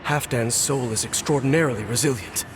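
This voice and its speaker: A man voicing a grave, reserved swordsman captain in a video game, measured and commanding. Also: mid-range voice, background noise, natural voice. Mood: urgent. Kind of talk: video game cutscene voice acting. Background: game score.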